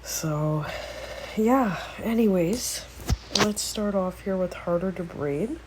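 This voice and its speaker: A woman's voice: medium pitch, slow pace, very noisy. A woman with a cold and a nasal voice, chatting casually and rambling with many fillers while making a music tier list. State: congested with a cold. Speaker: music vlogger. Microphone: phone.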